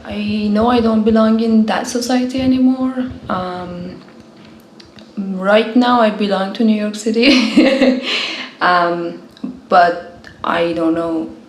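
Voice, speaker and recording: A woman speaks slowly and hesitantly, pausing as she reflects. Her voice carries an echoey room and a faint hiss behind it. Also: mid-range voice. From Iran. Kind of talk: personal interview testimony.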